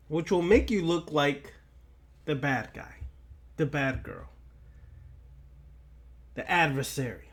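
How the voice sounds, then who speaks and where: A man with a somewhat high voice delivers an occult lecture at a very slow pace. He breaks his thoughts into short, halting phrases with long pauses between them. Key lines come back again for emphasis, as a preacher would do. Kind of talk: esoteric lecture, preaching to listeners.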